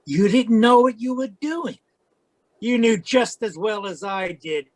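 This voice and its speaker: Guy acting a slick pushy villain in an old radio play, higher voice, real dry sound. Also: lively intonation, measured pace, no echo. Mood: incredulous. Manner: slick, menacing, calls woman baby. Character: scheming, pushy male villain in frontier melodrama.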